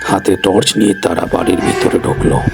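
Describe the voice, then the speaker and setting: A man's voice: sits low, little pitch movement, a little fast, vocal fry, noisy background. A man narrating a scripted horror story as a storyteller.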